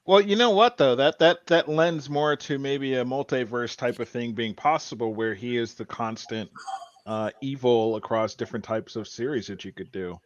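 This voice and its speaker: A man's voice: mid-range voice, moderate pace, dry recording, highs cut off. A man chatting casually as a podcast panelist, recapping a story in an informal way.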